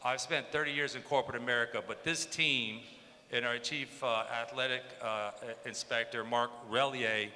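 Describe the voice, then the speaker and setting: A man's voice: mid-range voice, steady tone, moderate pace, sharp tone. A man from Southern California, a commission chairman, giving an upbeat civic speech at a press conference. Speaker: state athletic commission chairman. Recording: reverberant hall with faint background noise.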